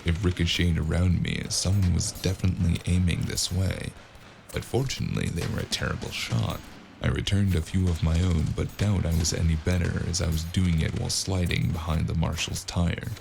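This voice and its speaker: A man with a gravelly voice narrating a cyberpunk noir audio drama in the first person, as a weary, wry gunman. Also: low-pitched, flat delivery, brisk, noisy background. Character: weary, wry male cyberpunk gunman telling his own story. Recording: music under the voice.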